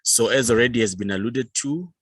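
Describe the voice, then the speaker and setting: A man with a Zimbabwean accent giving a formal presentation as a youth organization leader, speaking in a measured, formal way with pauses. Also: mid-range voice, fairly bright tone, breathy edge, no echo.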